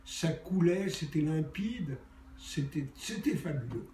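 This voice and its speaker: A man's voice: fairly high pitch, expressive, slow pace, warm tone. An older man, a journalist, telling anecdotes from his career in a theatrical storytelling manner. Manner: theatrical storyteller, acts out dialogue. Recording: roomy, distant mic, faint background noise.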